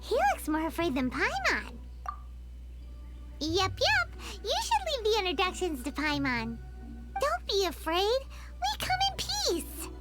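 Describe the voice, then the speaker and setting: A woman voicing a tiny, excitable, bossy fairy companion in an anime game, squeaky and childlike. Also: slow pace, full character voice. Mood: relieved, reassuring. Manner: refers to herself in the third person, exclamatory. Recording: loud game music behind the voice.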